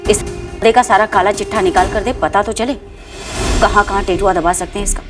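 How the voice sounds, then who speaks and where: A woman's voice: medium pitch, a little fast, music in the background, close to an everyday voice. An actress playing a hard, vengeful female gang boss in a TV crime drama, coarse and commanding. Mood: cold, commanding.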